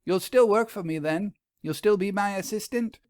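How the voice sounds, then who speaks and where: Storybook role: inquisitive, grudging woman detective, read by a man. Raised pitch, lively swings, insistent questioning, dry close recording. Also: moderate pace, no echo, lightly acted. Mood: pleading. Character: Vera, strong-willed woman ghost-hunting detective, grudging, inquisitive. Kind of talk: audio storybook character reading.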